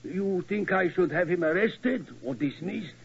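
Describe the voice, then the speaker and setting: An actor playing a fussy middle-aged tower keeper who talks in stiff, inverted phrases, old muffled radio recording. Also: male voice, high-pitched, animated, a little slow, slightly rough, heavily acted. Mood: formal. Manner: formal, inverted word order. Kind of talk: 1940s radio acting.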